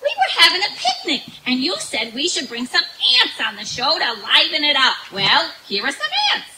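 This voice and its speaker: A woman's voice: high voice, very animated, normal speed, sharp tone, exaggerated voice. A woman playing a chirpy, teasing radio show sidekick in an old-time comedy skit. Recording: noisy, clicky live outdoor recording.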